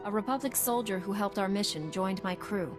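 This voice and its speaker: A mid-pitched young Jedi heroine played by a woman speaks plainly and with self-assurance. It is earnest video game acting over a prominent music score. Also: fairly quick, close to an everyday voice. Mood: confident. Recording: loud music behind.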